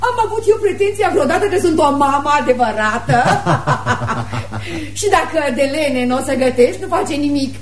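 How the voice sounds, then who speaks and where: A high, animated female voice plays a flippant, pleasure-seeking mother in a radio drama. Her delivery is breezy and theatrical, and the room echoes around her. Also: hardly any pauses. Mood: careless, mocking.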